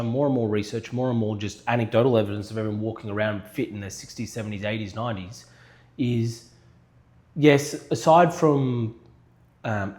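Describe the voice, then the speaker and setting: A man's voice: mid-range voice, a little mellow. Male co-host, mid-range voice, fidgety energy, conversational health talk. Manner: restless, quick to jump in with examples. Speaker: podcast co-host and manual therapy practitioner. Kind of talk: casual health chat.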